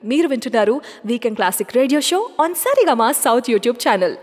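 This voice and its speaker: A woman's voice: a little high, expressive, a little fast, a little mellow. A woman hosting a radio show of classic film songs in a chatty way, mixing in English words. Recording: long hall-like reverb.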